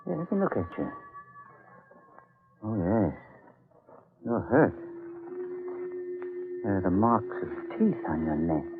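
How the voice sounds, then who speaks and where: An actor playing an anxious, probing professor in 1940s radio drama. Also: male voice, low voice, sing-song, slow, pauses often, music in the background, full character voice. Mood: probing, wary. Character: anxious, probing male professor, wary ally. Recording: tinny old narrow-band radio recording.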